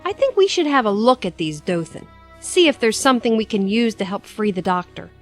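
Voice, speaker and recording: A woman's voice: mid-range voice, lively intonation, a little fast, soft background music, natural voice. A woman playing a practical young adventurer in an audio drama, reasoning in a matter-of-fact way. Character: level-headed, practical young female adventurer.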